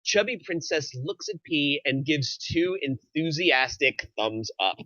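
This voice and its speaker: Male narrator for a screenplay table read, medium pitch, bright edge, slightly dull recording. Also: lively intonation, slow pace, no room sound. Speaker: narrator reading stage directions.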